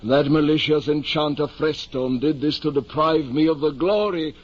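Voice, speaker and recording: A man's voice: fairly high pitch, normal speed, natural voice. Man acting a grandiose deluded old-time radio knight. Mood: battered but stubborn. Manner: pompous, archaic, declamatory speech. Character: deluded, grandiose gentleman knight nearing fifty. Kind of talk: 1950s radio drama acting. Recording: muffled, noisy old-time radio recording.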